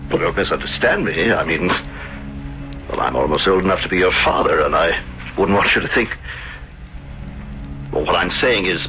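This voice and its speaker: An actor playing a middle-aged, courtly, scheming gentleman in a theatrical 1970s radio drama, hesitating for effect. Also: low voice, animated, moderate pace, long pauses, heavily acted. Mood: coaxing. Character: smooth, scheming middle-aged Italian gentleman hiding a secret. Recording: dull vintage radio broadcast, music behind.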